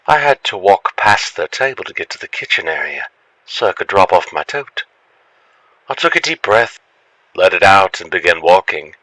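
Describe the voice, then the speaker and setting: Deep-voiced male storyteller, bright and dry. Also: dry recording, rough recording. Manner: expressive storytelling, acts out the lines. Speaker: horror story narrator. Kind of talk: dramatic first-person scripted narration.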